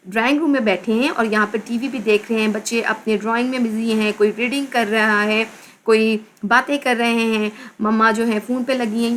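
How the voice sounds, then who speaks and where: A woman teacher from Pakistan with a Pakistani accent, slowly reading a children's English textbook aloud and explaining each word. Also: a little high, a bit bright, slight background noise. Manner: addresses pupils as "beta". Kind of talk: slow textbook lesson with translation.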